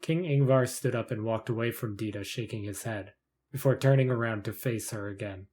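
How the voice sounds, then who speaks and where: A man's voice: on the low side, brisk, darkish tone. A man reading scripted narration for a fantasy short story in the third person.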